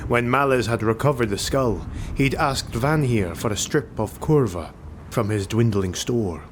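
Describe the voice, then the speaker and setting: A man's voice: medium pitch, unhurried. A man narrating a fantasy audiobook in a dramatic, scripted storytelling style. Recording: noisy home recording.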